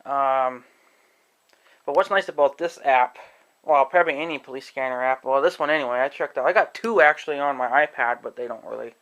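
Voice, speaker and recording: A man giving a casual, rambling demonstration to viewers, halting and thinking aloud as he talks. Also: medium pitch, fairly bright tone, lo-fi sound. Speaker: amateur video creator demonstrating an app. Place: indoors in own room at home.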